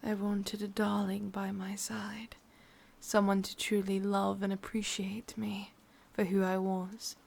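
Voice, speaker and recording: A woman with an English accent voicing an ancient, lonely, lovesick witch in a breathy, husky whisper for an ASMR roleplay. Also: medium pitch, completely flat delivery, darkish tone. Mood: melancholy, yearning. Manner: slow, brooding, intimate delivery.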